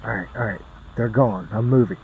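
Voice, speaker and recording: A man's voice: lowish voice, fairly quick, creaky, phone-quality sound. Man playing a sweary reckless stoner dude, faint noise. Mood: nervous. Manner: slangy, sweary, colloquial. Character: reckless, foul-mouthed redheaded stoner guy stuck in quarantine. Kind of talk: audio drama dialogue over hand-held radio.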